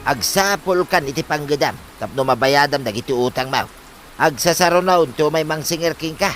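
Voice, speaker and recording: A man's voice: fairly high pitch, normal speed, a bit bright, soft background music, lightly acted. A man acting a nagging father of a grown son in an Ilocano comedy drama, lecturing reproachfully with an Ilocano accent. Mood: scolding. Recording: faint background noise.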